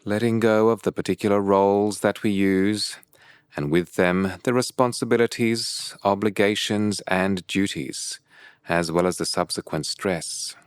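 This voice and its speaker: A man leading a guided meditation as a teacher, with calm, measured and deliberate phrasing broken by pauses. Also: lowish voice, clear, resonant tone, no room sound, clean studio sound.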